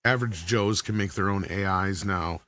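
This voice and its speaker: A man's voice: low-pitched, normal speed, bright, forceful tone, slight background noise. A man talking casually on a panel podcast, thinking aloud and rambling as he explains.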